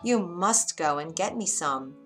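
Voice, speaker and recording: A woman's voice: fairly low pitch, animated, measured pace, fairly bright tone, faint music behind. A woman voicing a stubborn young wife in a fairy tale, insistent and demanding. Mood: craving.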